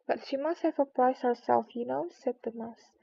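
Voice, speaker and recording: A woman's voice: sits high, normal speed, creaky, mellow, husky, muffled recording, exaggerated voice. A woman voicing a gloomy, easily offended mouse from a storybook, with a severe and huffy manner.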